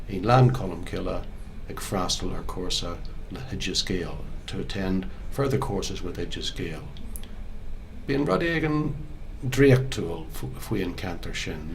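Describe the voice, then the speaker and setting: An older man with a Belfast accent tells his own story slowly, giving each phrase in Irish and then in English, with some hesitations. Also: deep voice, creaky, a little hiss or hum. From Northern Ireland. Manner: measured, unhurried, with hesitations. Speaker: museum storyteller.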